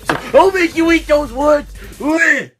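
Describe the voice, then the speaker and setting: Man acting a loud, high, cocky cartoon hedgehog. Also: unhurried, vocal fry, soft background music, full character voice. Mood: boastful, angry. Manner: taunting, exclamatory.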